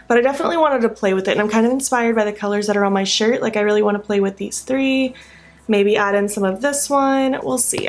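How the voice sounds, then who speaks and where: A young woman vlogger chatting casually while doing her makeup, sleepy-voiced and rambling with lots of filler likes. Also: mid-range voice. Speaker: beauty vlogger. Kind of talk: casual get-ready-with-me chat.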